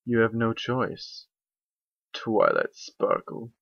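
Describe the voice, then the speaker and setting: A man voicing a shadowy dark entity that speaks slowly and quietly with cold menace. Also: fairly even intonation, vocal fry, dry recording, exaggerated voice. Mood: menacing. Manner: slow, low, hushed menace. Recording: dull lo-fi sound.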